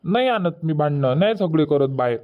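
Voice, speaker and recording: Cartoon village boy, played by a man: high, whiny, stubborn, Varhadi Marathi accent, muffled lo-fi recording. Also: animated, fairly quick, lightly acted. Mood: pleading, impatient. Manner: whiny, argumentative. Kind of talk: cartoon comedy dialogue. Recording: dull, muffled lo-fi audio.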